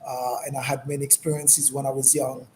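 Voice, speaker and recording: A man from Senegal speaking as a guest healer in a reflective interview, with halting, thoughtful phrasing. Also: mid-range voice, flat delivery, a little mellow. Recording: clearly noisy background.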